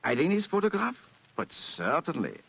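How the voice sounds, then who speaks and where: A man's voice: fairly high pitch, wildly swooping pitch, normal speed, creaky, a bit bright, husky, exaggerated voice. An actor playing a formal, grateful king in a 1940s radio drama, courtly and effusive. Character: grateful, regal European king, formal and generous. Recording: dull vintage radio recording.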